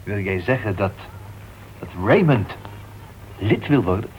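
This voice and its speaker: A man's voice: lowish voice, animated, measured pace. An actor playing a fussy, cautious, old-fashioned man chairing a club meeting, hesitant and repeating himself, in a Dutch radio play. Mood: doubtful. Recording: dull, noisy old radio recording.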